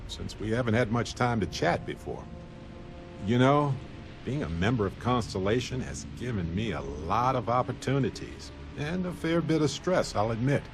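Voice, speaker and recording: An actor playing a genial, wry veteran space explorer in a video game, chatty and reflective. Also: male voice, medium pitch, natural voice. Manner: conversational, playful, reflective. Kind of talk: scripted video game dialogue.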